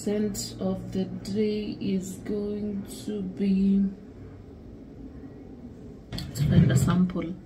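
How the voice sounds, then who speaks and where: A woman's voice: mid-range voice, extremely slow, pauses often, noisy background. A young woman chatting casually to her viewers in a lifestyle vlog, filmed at home with a handheld camera. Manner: relaxed, chatty, talks to viewers as "guys". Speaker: lifestyle vlogger. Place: home kitchen and dressing room, indoors.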